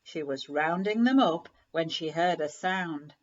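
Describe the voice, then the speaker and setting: Woman, children's story reader, unhurried, animated intonation, slightly lo-fi sound. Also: sits low. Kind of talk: expressive children's storybook read-aloud. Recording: slightly dull, lo-fi recording.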